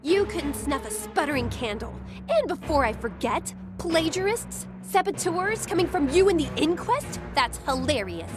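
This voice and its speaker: Brisk, high-voiced sardonic gnome inventor. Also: female voice, very animated, over music. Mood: sardonic, scornful. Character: sharp-witted female gnome-like inventor, confident and sardonic. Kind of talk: video game cutscene acting.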